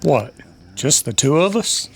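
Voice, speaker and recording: An actor playing a brash, weary cowboy gambler in a western radio drama, with a husky voice and gruff drawling talk. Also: male voice, on the high side, very animated, measured pace, a little hiss or hum, heavily acted. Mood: wary, reluctant. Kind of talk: old-time western radio drama acting.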